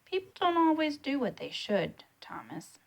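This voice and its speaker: A woman's voice: high-pitched, big pitch swings, normal speed, vocal fry, voice pushed up, heavily acted. A woman voicing a kindly old grandfather, telling a childhood tale with emphasis in a storybook read-aloud. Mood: reassuring.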